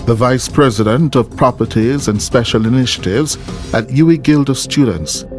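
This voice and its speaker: A man's voice: medium pitch, normal speed. Jamaican man narrating a news report over music. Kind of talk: scripted documentary narration. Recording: music bed behind the voice. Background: background music.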